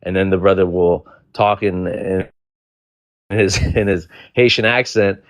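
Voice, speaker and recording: A man hosts a casual live-stream panel discussion. His voice sits low, and he rambles with an exasperated edge and frequent restarts. The sound is dry and close, with no room around it. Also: steady tone, unhurried, dry recording. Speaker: panel host.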